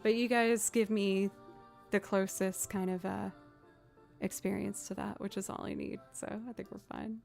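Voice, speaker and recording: A young woman with a low voice, chatting casually and rambling to her live stream audience. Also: flat delivery, moderate pace, creaky, darkish tone, faint music behind. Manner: chatty, rambling, self-deprecating. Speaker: streamer and musician.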